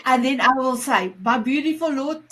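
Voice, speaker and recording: A woman with a South African Afrikaans accent hosting a talk show in a gushing, affectionate, halting way. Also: sits high, a bit bright. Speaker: show host.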